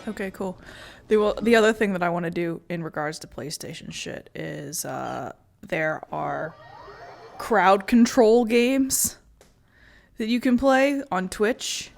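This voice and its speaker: A woman's voice: medium pitch, slow, creaky, a little hiss or hum. A woman streamer chatting casually in a rambling, sweary way. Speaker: game streamer. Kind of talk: casual chat.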